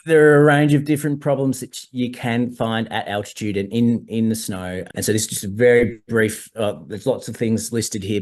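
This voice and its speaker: A hoarse man, a doctor explaining altitude medical issues in a measured way during a webinar over a video call. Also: on the low side, darkish tone. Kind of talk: webinar presentation.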